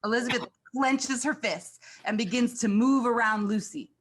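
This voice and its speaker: A woman's voice: on the high side, normal speed. A woman reading scripted stage directions as the narrator of a screenplay.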